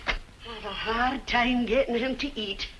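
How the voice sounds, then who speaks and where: A noisy vintage radio recording of an actress as a motherly housekeeper. Her voice is a little high, her manner homely and fussing. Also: fairly even intonation, a little slow, lightly acted. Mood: fussing, kindly. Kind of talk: 1940s radio mystery acting.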